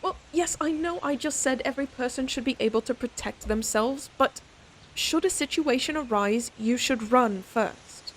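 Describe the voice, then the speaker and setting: A woman's voice: sits high, normal speed, a little hiss or hum, close to an everyday voice, rough recording. A woman playing a proud, stern lady knight in an audio roleplay, scolding her companion in an exasperated but secretly fond way. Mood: stern, protective. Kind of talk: audio roleplay acting, speaking to the listener.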